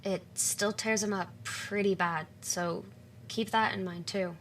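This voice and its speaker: Actress as loyal protective woman, gentle, careful, mid-pitched, audio drama, faint ambient noise. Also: steady tone, a little slow, lightly acted. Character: considerate, protective woman, warehouse worker and loyal friend.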